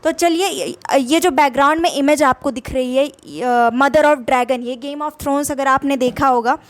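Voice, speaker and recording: Young Indian woman, high voice, Indian accent, patient English tutor who keeps rephrasing. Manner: explains patiently, repeats meanings in Hindi and English. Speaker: English vocabulary teacher. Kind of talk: casual spoken-English lesson.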